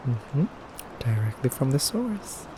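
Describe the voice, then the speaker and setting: A man playing an effeminate vampire interrogator in an ASMR roleplay, speaking softly and deliberately with teasing, condescending menace and breathy pauses. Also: mid-range voice, big pitch swings, noisy background. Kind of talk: ASMR roleplay, soft intimate acting.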